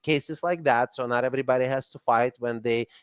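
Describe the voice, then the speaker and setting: A man with a Russian accent, a company CEO talking as a podcast guest in an animated way, often repeating words as he warms up. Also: mid-range voice, brisk, smooth, no room sound, clean studio sound. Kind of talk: casual podcast conversation.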